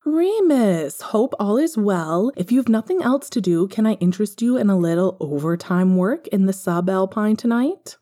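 A woman's voice: medium pitch, lively intonation, moderate pace, hardly any pauses, warm tone, close to an everyday voice. A woman voicing a fussy, polite male boss in a formal, effusive way for a story reading. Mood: eager.

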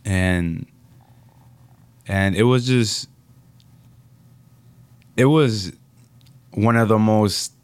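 A young man from the Dominican Republic, a rapper being interviewed, speaking reflectively and haltingly with many fillers. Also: on the low side, clean tone, no echo. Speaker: rapper guest. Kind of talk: casual interview.

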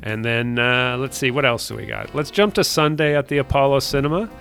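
A man co-hosting a radio show, casually running through listings in a halting, hesitant way. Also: mid-range voice, steady tone, vocal fry, a bit bright, soft background music. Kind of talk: casual chat, reading film listings.

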